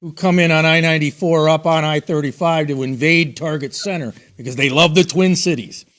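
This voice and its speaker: A middle-aged man hosts a sports talk show, his voice sitting a little higher than most men's. He talks in a conversational way and gives his opinions freely. He puts his questions to the guest. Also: steady tone, brisk, a bit bright.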